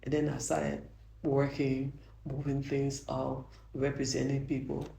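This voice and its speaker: Soft-voiced older Liberian woman, gravelly and mellow. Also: very deep voice, monotone, a little slow, slight background noise. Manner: earnest, frequent restarts, grows emphatic. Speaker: judge. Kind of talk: interview answers, reflective storytelling. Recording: roomy echo.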